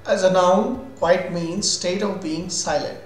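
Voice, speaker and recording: High-voiced Indian man teaching English. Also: steady tone, slow pace. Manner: instructional, defining terms then giving examples. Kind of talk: scripted vocabulary lesson narration. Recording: roomy echo with music behind.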